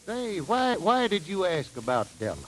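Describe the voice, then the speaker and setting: High-voiced, bashful, folksy man. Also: sing-song, normal speed, fairly bright tone, slight background noise. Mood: mild, earnest. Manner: hesitant, folksy, earnest. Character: mild, bashful, awkward ex-soldier handyman named Clarence. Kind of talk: old-time radio comedy acting.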